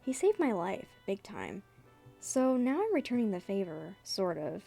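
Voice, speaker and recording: A woman voicing a polite, reserved young guy from a comic in a low-key, casual reading. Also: sing-song, measured pace, vocal fry, dark tone, soft background music, heavily acted. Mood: earnest, low-key. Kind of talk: amateur dramatic comic reading.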